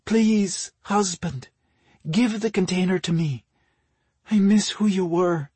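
Man doing a fantasy queen, lifted pitch, soft-mannered, imploring, worried loving wife, audiobook, dry recording. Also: takes it slowly, husky, no echo, heavily acted. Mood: pleading. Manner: gentle, imploring.

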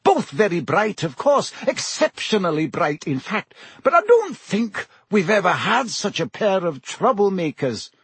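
A man voicing a stern older female schoolteacher in an audiobook, brisk, precise and exasperated. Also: high voice, very animated, a bit bright, husky, no room sound, voice pushed up, exaggerated voice. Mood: stern recollection.

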